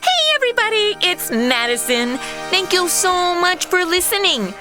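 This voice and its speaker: A woman's voice: very high voice, big pitch swings, normal speed, music in the background. A woman hosting a podcast, perky and informal, narrating and addressing listeners. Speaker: podcast host.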